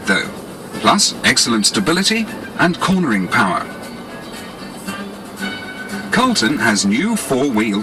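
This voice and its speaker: British guy doing an 80s promo tape voiceover, music behind, really varied pitch, polished salesy explainer. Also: rough recording. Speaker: advertising narrator. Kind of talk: scripted 1980s promotional narration.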